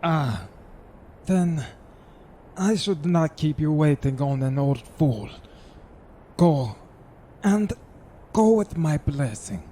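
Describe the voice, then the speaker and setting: An actor playing a dying, gentle old man with a raspy voice and halting, solemn delivery in an audio drama. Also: a little high, expressive, a little hiss or hum. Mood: weary, resigned. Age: older. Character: dying gentle old man who loves his pets.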